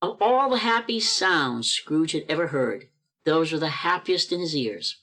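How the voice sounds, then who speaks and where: A woman's voice: low-pitched, animated, measured pace, fairly bright tone. Woman narrating a radio play. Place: performed remotely over a video call.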